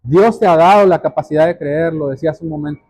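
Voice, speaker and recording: High-pitched male pastor preaching earnestly. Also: fast talker, a little mellow, no room sound. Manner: earnest, addresses listeners directly, repeats phrases for emphasis. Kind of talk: sermon, exhortation.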